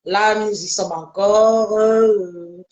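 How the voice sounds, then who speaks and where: A woman hosting a casual social media live, insistent and quick to cut in. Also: mid-range voice, fairly even intonation, a little mellow, dull audio. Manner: insistent, interrupting. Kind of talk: casual animated chat. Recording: echoey room sound.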